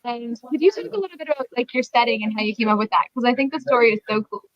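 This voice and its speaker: A higher-voiced woman hosts an interview over a video call and praises the book eagerly. The sound is dry and close, with a dull lo-fi quality. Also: rapid-fire, no room sound. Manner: warm, effusive praise and eager questions.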